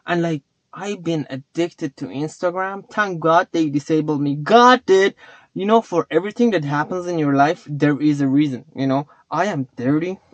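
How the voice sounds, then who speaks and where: A man speaking English as a non-native tongue, rambling and philosophizing with plenty of swearing as he addresses his chat. His voice sits high, and the sound is dry and dull, as if captured on a lo-fi mic. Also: normal speed, no echo. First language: not English. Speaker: vlogger. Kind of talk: rambling casual vlog talk.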